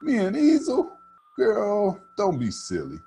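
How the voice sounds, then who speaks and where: A man's voice: high voice, wildly swooping pitch, slow pace, vocal fry, dark tone, husky, faint music behind, voice pushed up, exaggerated voice. A man voicing a sassy, scornful young girl in a storybook, with exclamatory folksy talk.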